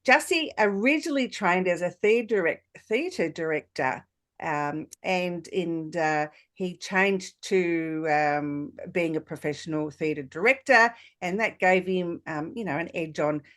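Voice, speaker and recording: A woman in her sixties hosts a podcast in a slightly low voice. She talks informally and effusively, drifting through introductions and questions in a chatty, rambling way. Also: animated, a little slow, fairly bright tone. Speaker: podcast host. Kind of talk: podcast introduction and interview chat.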